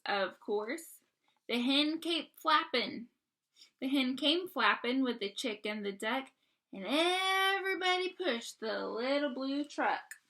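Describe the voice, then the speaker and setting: A woman reading a picture book aloud to young children, expressively, pausing to ask simple questions and explain. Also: sits high, a bit bright. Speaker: read-aloud storyteller.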